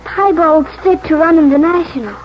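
Very high-voiced, eager young girl. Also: normal speed, slight background noise. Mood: hushed, earnest. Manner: breathless, exclaiming. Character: eager, horse-mad young English girl, dreamy and determined. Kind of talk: 1940s radio drama acting. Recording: muffled 1949 radio broadcast, music behind.

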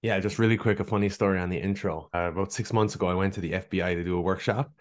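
A man's voice: deep voice, steady tone, racing. A man with an Irish accent, a guest nutritionist telling stories in a friendly, easygoing way on a podcast. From Ireland. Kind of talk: casual podcast interview.

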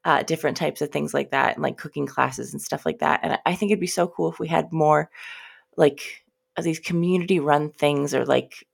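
A woman's voice: sits low, steady tone, a little fast, gravelly. A young American woman hosting her podcast, talking casually and rambling with lots of fillers and swearing, sounding stuffy and nasal from a cold. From United States. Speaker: podcast host. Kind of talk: casual solo podcast monologue.

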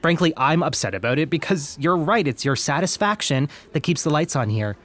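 A man's voice: a little high, animated, fairly quick, fairly bright tone. An actor playing a polite male customer service representative in an audio drama, placating with rehearsed sincerity. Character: smooth, placating young male phone company representative.

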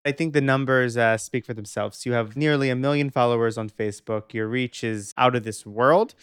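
A young man hosting a podcast, giving a measured, reflective narration and then interviewing a guest. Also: medium pitch, clear, resonant tone, no room sound, studio quality. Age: young adult. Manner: reflective, measured delivery with dramatic pauses. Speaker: podcast host.

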